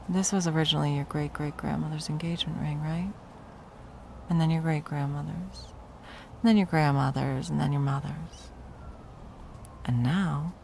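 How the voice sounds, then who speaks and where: A woman playing a possessive, coldly menacing yet cloyingly sweet corporate ex-girlfriend in an intimate ASMR roleplay, taunting with drawn-out endearments and breathy whispers. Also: sits low, darkish tone, a little hiss or hum, exaggerated voice. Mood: gloating, possessive.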